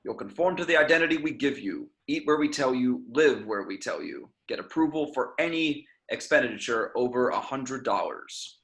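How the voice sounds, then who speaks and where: A man reading the part of a stern, commanding secret agency chief at an amateur script table read, measured and authoritative. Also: medium pitch, expressive, fairly bright tone. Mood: solemn, commanding.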